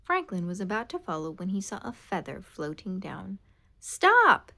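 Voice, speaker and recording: A woman reading a children's picture book aloud in an expressive, animated way. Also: medium pitch, normal speed, vocal fry. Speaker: storybook reader. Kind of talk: children's read-aloud narration.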